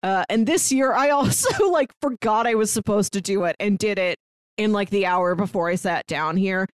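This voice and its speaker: A woman's voice: medium pitch, moderate pace, clean tone, dry recording, clean studio sound. An American woman co-hosting a podcast in a casual recap chat, informal and enthusiastic, sprinkling in "like" often. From St. Louis, United States. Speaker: podcast co-host.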